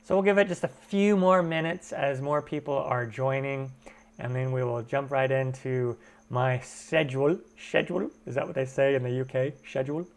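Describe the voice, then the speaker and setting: A man talks casually on a live stream, with a voice of ordinary, middle pitch. He greets viewers by name and chats through their comments in a relaxed, easygoing way. The sound comes from a computer webcam microphone at home. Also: moderate pace. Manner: chatty, greets viewers and answers chat comments. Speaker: cooking channel host.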